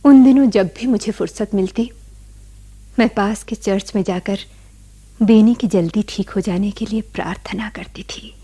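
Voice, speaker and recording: A woman acting a devoted, worried wife who narrates her memories in a radio play, in a hushed, reflective manner. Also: medium pitch, moderate pace, slightly rough, natural voice. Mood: subdued, wistful. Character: devoted, worried wife quietly recalling past events. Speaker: radio drama actor. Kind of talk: dramatic first-person narration in a radio play.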